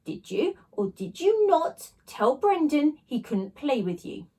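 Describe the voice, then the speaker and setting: A woman's voice: high-pitched, big pitch swings, slow, dark tone, pitched above the performer's own voice, full character voice. Woman putting on a stern, scolding male schoolteacher. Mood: angry, commanding. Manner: barking, scolding, clipped orders. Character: stern, short-tempered male schoolteacher who hates noise.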